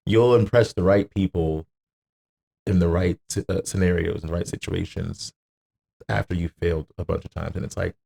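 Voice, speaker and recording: A man's voice: low-pitched, fairly even intonation, brisk, gravelly, mellow, breathy edge. A man as a podcast guest, chatting casually and bluntly, swearing and acting out what people say. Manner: blunt, sweary, acts out quoted remarks.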